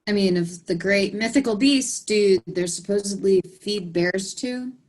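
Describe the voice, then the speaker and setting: A woman's voice: mid-range voice, measured pace, a little mellow, close to an everyday voice, rough recording. A woman acting in a table read as a curious, blunt, slangy activist. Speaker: actress in a screenplay table read.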